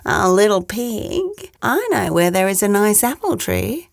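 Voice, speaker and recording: A woman voicing a sly, menacing male wolf in a children's storybook, with slow, drawn-out, coaxing delivery. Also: medium pitch, big pitch swings, creaky, no echo, clean studio sound. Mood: wheedling. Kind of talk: children's storybook read-aloud.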